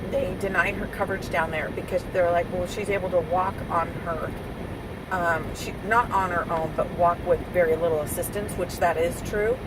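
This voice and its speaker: A middle-aged woman vlogging at home, a little hoarse and worn out, talking in a rambling, heartfelt way. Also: fairly low pitch. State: hoarse, recovering from a lost voice. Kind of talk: casual personal update. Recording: loud background noise.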